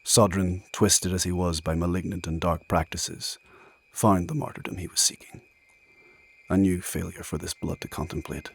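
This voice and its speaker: Fantasy narrator: low, raspy voice, grave and foreboding, scripted storytelling. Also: male voice, fairly even intonation, moderate pace, heavily acted. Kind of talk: scripted narration, literary and solemn.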